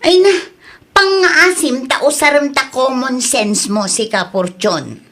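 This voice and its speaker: A woman's voice: high-pitched, lively intonation, slow pace, fairly bright tone, exaggerated voice. A woman with an Ilocano accent acting an insistent, spendthrift young mother in an audio drama. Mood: exasperated.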